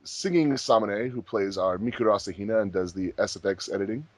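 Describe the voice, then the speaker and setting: A man's voice: fairly low pitch, a little fast, a little mellow, no room sound. A man hosting a group commentary track in a casual, chatty style. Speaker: host of a fan dub commentary track.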